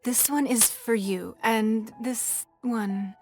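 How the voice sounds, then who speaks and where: A woman's voice: a little high, fairly even intonation, slow, a little mellow, faint music behind. An actress playing an elegant, poised wealthy lady in a video game, speaking in a gracious, refined way. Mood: gracious, tender.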